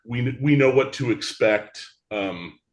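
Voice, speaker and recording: A man's voice: medium pitch, slow. A man talking as a theatre creator guest in a casual interview, long-winded and rambling with frequent restarts.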